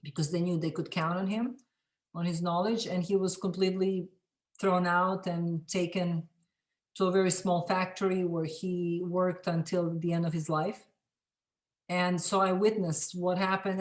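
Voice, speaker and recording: A middle-aged woman from Serbia with a Serbian accent, telling her story as an interview guest, halting often and restarting her sentences. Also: on the low side. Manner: halting, many restarts and fillers. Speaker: film director, interview guest. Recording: echoey room.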